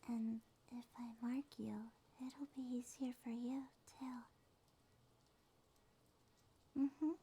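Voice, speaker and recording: A woman voicing a small, affectionate succubus monster girl in a soft, soothing, sleepy ASMR roleplay. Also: a little high, little pitch movement, a little hiss or hum. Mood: sleepy, tender. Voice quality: soft, breathy, often whispered. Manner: slow, tender, soothing.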